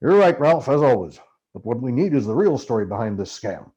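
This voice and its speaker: A man voicing a gruff, profane, cynical journalist in an audiobook, brusque and haranguing. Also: medium pitch, big pitch swings, fairly quick, warm tone, lo-fi sound, natural voice. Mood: probing, skeptical. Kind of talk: acted audiobook character dialogue.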